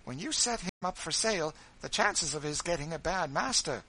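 A man voicing an earnest, energetic middle-aged spinster who pleads her case. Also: normal speed, heavily acted, low-quality recording. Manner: earnest, pleading, raised pitch.

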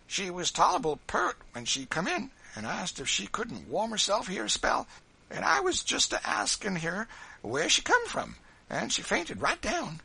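A man's voice: high-pitched, vocal fry, sharp tone, breathy edge, voice pushed up, full character voice. A man voicing a compassionate old woman servant who talks in a drawling rustic dialect for a storybook.

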